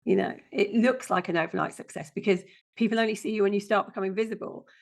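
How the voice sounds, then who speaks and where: A woman guest with a croaky, chesty voice, speaking bluntly and plainly in a casual interview. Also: medium pitch, steady tone, fast. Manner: blunt, down-to-earth. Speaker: guest, passive income strategist.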